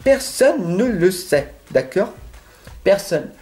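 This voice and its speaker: A man's voice: high voice, sing-song, takes it slowly, mellow, faint music behind. A French-accented man giving a tarot card reading straight to the viewer, earnest and insistent, often checking in with "d'accord?". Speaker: tarot reader.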